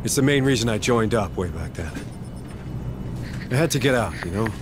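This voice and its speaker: Man acting a terse, low-voiced marine sergeant. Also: animated, moderate pace, noisy background, lightly acted. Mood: defensive, bitter. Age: middle-aged. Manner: terse, sardonic. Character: gruff, troubled, sardonic middle-aged male marine sergeant. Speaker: voice actor. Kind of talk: video game cutscene acting. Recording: loud music behind the voice.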